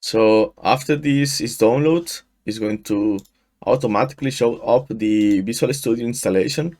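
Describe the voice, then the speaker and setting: A man in his thirties from Mexico, a native Spanish speaker with a Mexican accent, walking through a software install in a screen-share tutorial, often restarting his sentences. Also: medium pitch. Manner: halting, frequent restarts and fillers. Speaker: tutorial host. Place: small room indoors. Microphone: headset.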